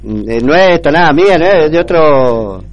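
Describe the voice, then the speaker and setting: A man's voice: a little high, expressive, normal speed, muffled recording. A man hosting a radio show, chatting casually and heaping praise on his guests. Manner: chatty, warm praise, frequent laughs. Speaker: radio host. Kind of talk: casual chat.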